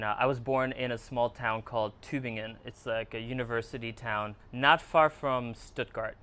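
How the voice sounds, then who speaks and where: Interview guest: man in his thirties, raised in Germany, Hungarian first language, smooth resonant voice, easy storytelling with restarts. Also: mid-range voice, fairly bright tone. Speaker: guest, skate videographer. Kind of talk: casual interview.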